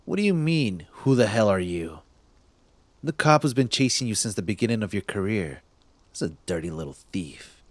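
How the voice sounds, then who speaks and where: A man playing a smug cop secretly smitten with a thief in an intimate ASMR roleplay, teasing and halting, sometimes dropping to a breathy murmur. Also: on the low side, sing-song, no echo. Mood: smug, taunting. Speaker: voice actor in audio roleplay. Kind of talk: ASMR roleplay acting, addressed to the listener.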